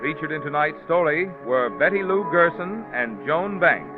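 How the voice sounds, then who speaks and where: A man's voice: a little high, animated, moderate pace. A man working as a radio announcer, reading sponsor messages and credits in a polished, formal 1940s style. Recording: tinny band-limited old radio broadcast with music. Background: music.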